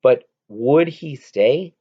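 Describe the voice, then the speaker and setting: A man reading a children's novel aloud as narration, in an expressive, amateur read-aloud manner. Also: mid-range voice, very slow, highs cut off. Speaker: audiobook reader.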